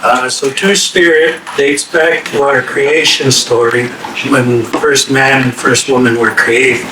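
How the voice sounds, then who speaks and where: A man speaking as a guest at a staff workshop. He is losing his voice and talks in a slow, deliberate way with pauses. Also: mid-range voice. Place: meeting room. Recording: echoey room from a distance, audible hiss.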